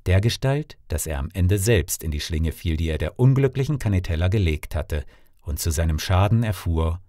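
Animated male fairy-tale narrator. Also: low voice, moderate pace, no echo, studio quality. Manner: theatrical, dramatic, clearly enunciated. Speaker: audiobook narrator, storyteller.

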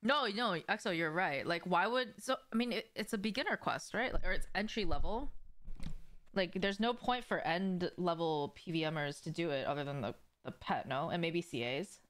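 Smooth, low-voiced young woman streaming games. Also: moderate pace, fairly bright tone. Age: young adult. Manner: informal, sweary, slangy. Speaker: game streamer. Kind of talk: casual livestream chat with viewers while gaming. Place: indoors, small home room with shelves.

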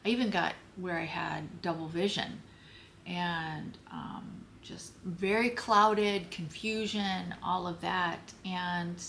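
Slow, hoarse woman vlogging at home. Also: on the low side, steady tone, fairly bright tone, slight background noise. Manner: slow, halting, searching for words. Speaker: lifestyle vlogger. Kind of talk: personal health story told to camera. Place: home office, indoors.

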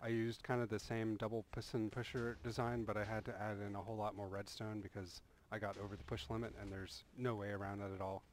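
Low-voiced man explaining a game build. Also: fast, hardly any pauses, slight background noise. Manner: relaxed, rambling explanation with frequent restarts and "uh". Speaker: game tutorial creator. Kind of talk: casual voice-over walkthrough of a game build.

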